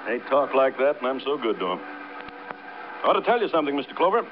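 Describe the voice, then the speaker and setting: A man's voice: mid-range voice, very animated, fast, close to an everyday voice. A tough, talkative American crew boss acted by a man in a classic radio drama. He talks in breezy, clipped slang, over a muffled old recording with some background noise. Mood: cocky, breezy. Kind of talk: 1950s radio drama acting.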